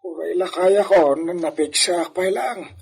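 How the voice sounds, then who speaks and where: An actor playing a humble old village fruit carrier in a radio drama, husky and folksy with frequent exclamations. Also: male voice, high-pitched, unhurried, full character voice. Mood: humble, resigned.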